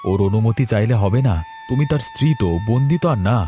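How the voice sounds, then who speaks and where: A man's voice: mid-range voice, fast talker, dark tone, soft background music, close to an everyday voice. A man playing a caring, careworn elder brother from a village in a cartoon drama, earnest and coaxing. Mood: tender, coaxing. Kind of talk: Bengali cartoon drama acting.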